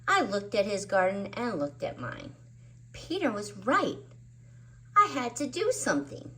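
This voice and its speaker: A woman's voice: mid-range voice, sing-song, a little slow, pushed and bright, a little hiss or hum. A woman hosting story time, narrating a children's book expressively with dramatic emphasis on words. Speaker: children's story reader and host.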